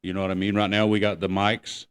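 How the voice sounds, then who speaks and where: A man's voice: low-pitched, level tone, fast, smooth. A man chatting casually as a podcast co-host, laid-back and full of slang. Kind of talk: casual chat.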